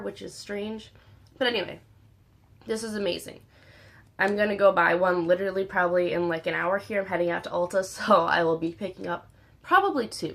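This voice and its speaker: A young woman with a slightly raspy voice, still recovering from illness, chatting candidly about beauty products she has used up. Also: medium pitch, fairly bright tone. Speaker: beauty vlogger. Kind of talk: casual product review.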